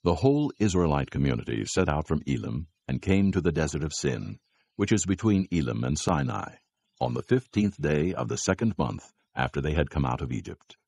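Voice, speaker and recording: A man with an extremely deep voice narrates scripture in measured storytelling style. His tone is gravelly and husky, as a scripted narrator. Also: sing-song, darkish tone, no room sound.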